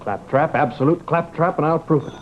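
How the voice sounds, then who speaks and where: An actor playing a pompous, skeptical, easily rattled doctor in old-time radio horror-comedy, with a fussy, sputtering manner. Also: male voice, on the high side, brisk, lightly acted. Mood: indignant, pompous. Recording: dull, noisy vintage radio recording.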